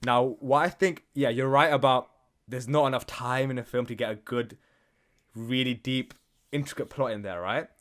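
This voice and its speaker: Male podcast host, mid-pitched, casual and sweary, pressing his points emphatically with tag questions. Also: moderate pace, fairly bright tone. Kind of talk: casual gaming podcast discussion.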